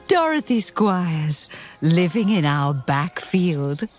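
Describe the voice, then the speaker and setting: A woman's voice: lowish voice, sing-song, extremely slow, a little mellow, faint music behind, heavily acted. A middle-aged woman playing a long-suffering, practical farm widow in a radio comedy-drama, wry, patient and down-to-earth. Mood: wry, reflective. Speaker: actor in a radio play. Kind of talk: radio comedy-drama acting, confiding narration.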